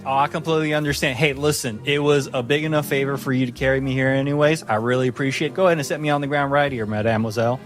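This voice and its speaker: A man plays a tiny little swordsman in a tabletop adventure. He is chirpy and utterly earnest, a touch unhinged, rambling on with gallant courtesy. Soft music plays behind him. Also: medium pitch, fairly even intonation, rapid-fire, hardly any pauses, close to an everyday voice. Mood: gracious, proud. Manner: cheerful, earnest, chivalrous, rambling.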